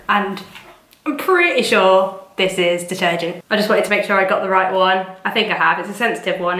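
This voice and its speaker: A woman's voice: mid-range voice, a bit bright. A young woman with a cold, chatting casually to camera in a rambling way, her voice stuffy and nasal. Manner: chatty and rambling, many ums and likes. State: congested with a cold. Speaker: vlogger. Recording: echoey room.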